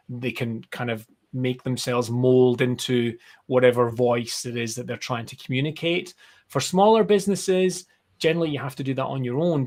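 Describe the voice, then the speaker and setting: A man with a Scottish accent giving explanations in a casual, rambling, conversational interview style. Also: medium pitch. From Scotland. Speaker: guest, brand strategist and designer. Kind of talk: casual live interview chat.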